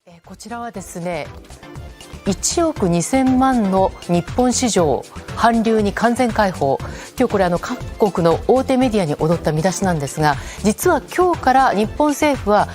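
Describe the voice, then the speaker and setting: A woman's voice: mid-range voice, expressive, fast, darkish tone. Female news presenter over faint music. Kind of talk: news-style spoken report.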